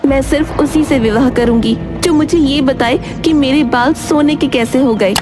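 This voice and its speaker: A woman's voice: sits high, moderate pace, music in the background, voice pushed up, close to an everyday voice. A woman voicing a humble, devout young village girl in a cartoon story, earnest, sweet and respectful. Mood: firm. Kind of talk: Hindi cartoon moral story acting.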